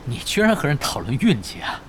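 Shrewd, teasing gamer, lively intonation. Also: male voice, mid-range voice, fast, background noise. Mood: teasing, easygoing. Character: shrewd, easygoing male pro gamer scouting talent. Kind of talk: Mandarin audio drama acting. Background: prominent background music.

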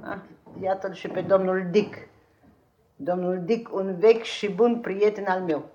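An actress playing a stern, blunt older great-aunt in a radio play, with a commanding, dryly sarcastic delivery. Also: female voice, medium pitch, fairly even intonation, mellow, slight background noise, close to an everyday voice. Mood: brisk, formal. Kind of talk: 1950s radio theatre acting. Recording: dull 1950s radio archive recording.

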